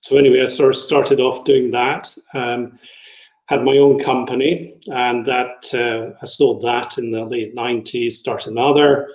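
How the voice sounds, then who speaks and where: A Scottish man from Edinburgh in his fifties, a travel company director giving a rambling, self-deprecating interview with plenty of ums over a video call. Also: mid-range voice. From Scotland. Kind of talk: casual conversational interview. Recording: roomy, dull video-call audio.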